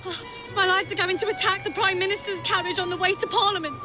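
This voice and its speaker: A man's voice: very high voice, fairly even intonation, quick pace. An actor playing a terrified male street thug who pleads and stammers in a video game cinematic. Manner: panicked, stammering, gasping. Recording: music behind the voice.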